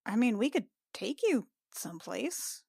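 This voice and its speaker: A woman's voice: a little high, big pitch swings, measured pace, creaky, a little mellow, husky, no echo, clean studio sound. A woman playing in a tabletop role-playing game, improvising in casual conversation. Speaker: player in a tabletop game podcast. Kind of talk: casual improvised in-character chat.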